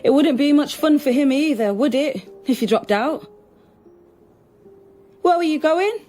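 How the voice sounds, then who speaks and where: A watchful woman undercover as a nanny in a radio drama. Her voice sits fairly high. She speaks in a tentative, guarded, natural way over faint music. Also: lively intonation, a little slow, dark tone. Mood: coaxing. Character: gentle, watchful undercover policewoman posing as a nanny.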